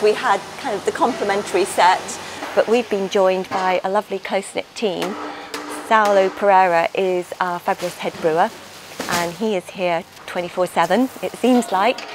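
A woman's voice: mid-range voice, background noise. A woman, co-founder of a brewery, talking enthusiastically about the business in a chatty voice-over interview. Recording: music playing under voice.

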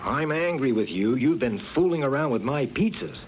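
A man's voice: medium pitch, expressive, normal speed, slight background noise, voice pushed up, lightly acted. A man voicing a harried, hot-tempered male pizza shop owner in a children's cartoon story, exclaiming. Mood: scolding. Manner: exclaiming, scolding. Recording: muffled old cartoon soundtrack, background music.

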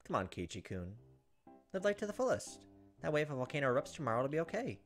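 A man's voice: fairly high pitch, sing-song, fast, faint music behind. A man in his thirties hosting a gameplay video, expressively reading a visual novel's narration aloud.

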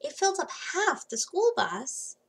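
Woman, thirties, children's read-aloud, very lively intonation, slow delivery, dramatic pauses, hushed emphasis, home webcam recording. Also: high voice. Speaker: children's book reader. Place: small home room, indoors. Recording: faint background noise.

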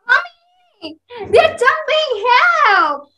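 Woman playing very high, whiny spoiled stepsister. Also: very animated, extremely slow, bright, forceful tone, full character voice. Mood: panicked, demanding. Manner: whiny and demanding. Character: spoiled, squeamish, haughty young stepsister. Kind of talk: readers theater acting on video call. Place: indoors on a video call.

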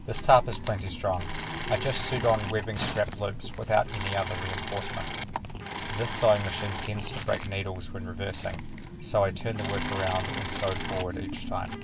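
Male DIY vlog narrator, steady explainer, low gravelly voice. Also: normal speed, very noisy. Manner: calm, matter-of-fact explaining. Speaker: sailing vlogger. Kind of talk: scripted voice-over narration.

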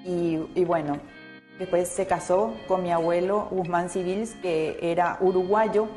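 A woman's voice: lowish voice, steady tone, a little slow, dark tone, soft background music. A middle-aged woman from Paraguay whose first language is Italian, chatting casually as a guest in a TV studio, with many fillers and restarts. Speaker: interview guest, painter. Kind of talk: casual interview chat. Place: TV studio set with plants.

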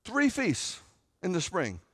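Animated American male preacher in a hall. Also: sits high, takes it slowly. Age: middle-aged. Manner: conversational, wry, emphatic with repetition and rhetorical questions. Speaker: rabbi preaching to a congregation. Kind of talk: sermon. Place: large sanctuary, at a pulpit before seated listeners. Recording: reverberant hall sound.

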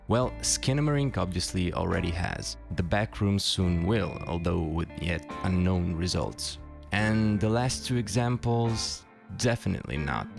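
A man delivers scripted essay narration in a very low, creaky voice. His manner is dry, with wry asides, and music plays clearly behind him.

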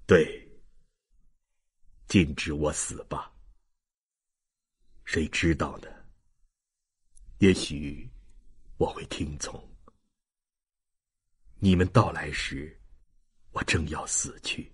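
A very raspy, dying old man. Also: very deep voice, fairly even intonation, pitched below the performer's own voice, heavily acted. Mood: weak, resigned. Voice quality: husky, breathy, weak. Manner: slow, halting. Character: dying old man, tender, weary and resigned. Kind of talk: dramatized audiobook reading.

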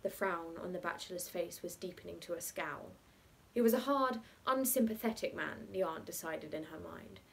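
A young adult woman reads a literary short story aloud in a measured, articulate, expressive way. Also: mid-range voice, gravelly.

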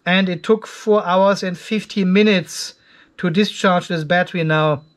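High-pitched middle-aged man thinking aloud. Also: steady tone, measured pace. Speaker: host of an off-grid solar video channel. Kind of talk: casual product test commentary. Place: cluttered garage workshop.

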